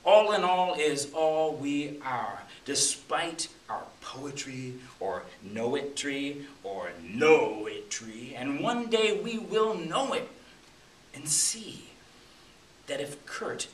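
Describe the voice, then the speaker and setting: A man's voice: a little high, lively intonation, slow. A middle-aged American man performing spoken-word poetry with a dramatic, rhythmic delivery that swells and drops. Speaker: poet reading his own work. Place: public library room at a poetry reading. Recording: distant mic, echoey room, faint hiss.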